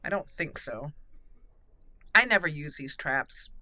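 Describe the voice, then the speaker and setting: A woman's voice: sits low, expressive, a little slow, sharp tone, dry recording. A woman doing casual roleplay game commentary, chatty and thinking aloud as she plays. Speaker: let's play host.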